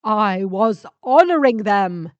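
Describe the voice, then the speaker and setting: A woman voicing a needy, menacing male sentient spaceship in an audio story, speaking in slow, emphatic, drawn-out phrases. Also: mid-range voice, animated, dry recording, lo-fi sound. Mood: defensive.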